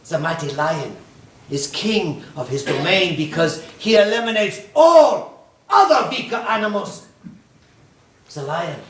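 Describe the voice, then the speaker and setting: A man's voice: sits high, exaggerated voice. A man acting a fanatical, menacing Nazi officer in a stage play, with pompous, declamatory, volatile delivery. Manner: pompous, declamatory, with bursts of shouting. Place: indoor theatre stage, recorded from a distance. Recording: echoey stage hall, distant mic.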